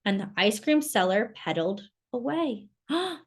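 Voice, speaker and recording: A woman reading a picture book aloud to young children in an expressive, exclamatory way, with chatty asides and questions. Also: medium pitch, moderate pace, breathy edge. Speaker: children's storytime reader.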